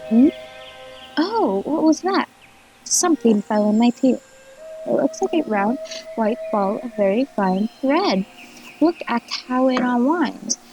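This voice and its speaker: A woman playing a curious, gracious empress in an audio play, with a gentle, inquisitive manner. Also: a little high, expressive, slow pace, pauses often, lo-fi sound. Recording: faint music behind the voice.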